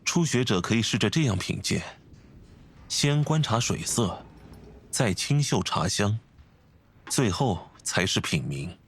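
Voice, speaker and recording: A man voicing a refined, composed, scholarly gentleman in a video game, speaking formally and courteously with measured phrasing. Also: on the low side, big pitch swings, breathy edge, heavily acted. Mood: reassuring, measured. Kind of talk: video game character acting.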